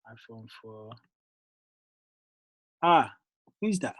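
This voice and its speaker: A man's voice: medium pitch, big pitch swings, extremely slow, a bit bright, dry recording. A man hosting a group call, reading names and firing up his team in a casual, motivational way. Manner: casual, calls people bro and dude.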